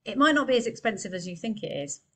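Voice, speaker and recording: A woman's voice: on the high side, big pitch swings, fast talker, fairly bright tone. A woman in her thirties, an accountant, giving earnest, chatty business advice straight to a webcam in a small room. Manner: chatty, earnest, gesturing with hands. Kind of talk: direct-to-camera business advice.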